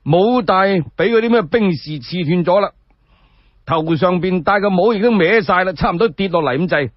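A male Cantonese storyteller narrates in a high, very lively voice with a husky edge. He is colloquial and expressive, breaking off for chatty asides. The radio recording is dry and a little dull. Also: normal speed, no echo.

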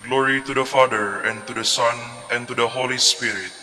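A man recites liturgical psalms at a steady, measured pace. His tone is bright and clear. The voice rings out in the long reverb of a large church. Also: mid-range voice.

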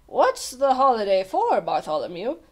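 A woman's voice: fairly high pitch, huge pitch swings, moderate pace, darkish tone. A woman voicing a flustered, fretful old man, a storybook bell ringer who exclaims as if startled. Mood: puzzled. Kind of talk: storybook character voice.

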